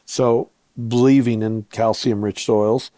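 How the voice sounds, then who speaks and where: Male interview guest, American, soft warm timbre, dry recording, explains things in short measured phrases. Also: on the low side, steady tone, dry recording. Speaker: guest, vineyard owner and viticulturist.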